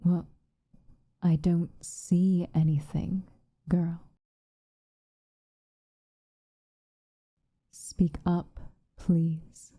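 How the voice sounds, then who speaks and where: Woman playing a hushed, dark-voiced, stern but caring witch. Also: on the low side, level tone, no echo. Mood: brisk, insistent. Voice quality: soft, hushed, often breathy whisper. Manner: measured, formal, gently commanding. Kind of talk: ASMR roleplay.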